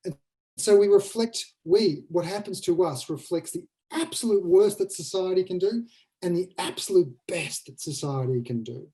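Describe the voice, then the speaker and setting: A man's voice: high-pitched, moderate pace, warm tone. A man speaking as a playwright guest in a casual panel discussion, reflective and emphatic. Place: indoors, online video call.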